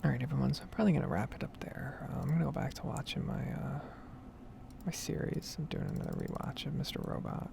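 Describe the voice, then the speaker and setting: A woman speaking in a soft, breathy whisper, rambling casually in an ASMR gameplay style, close to the mic with typing and clicking behind her. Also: very deep voice, steady tone, fast talker, background noise. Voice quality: breathy, soft-spoken whisper with heavy vocal fry. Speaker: gaming streamer and ASMR creator.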